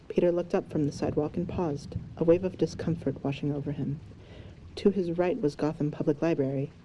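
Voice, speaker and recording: A woman's voice: low voice, warm tone, low-quality recording. A woman narrator reading scripted fan fiction as an audio story. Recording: faint background noise and ambience.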